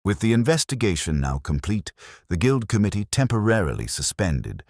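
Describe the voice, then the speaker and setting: A man's voice: deep voice, no echo, clean studio sound. A man narrating a scripted mystery story as its narrator.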